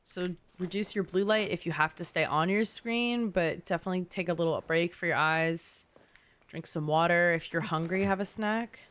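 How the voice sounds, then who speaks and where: A woman's voice: on the low side, moderate pace, fairly bright tone. A young woman chatting casually as she streams a game, rambling through conversational asides. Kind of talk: casual chatty livestream gaming commentary. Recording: clear background noise.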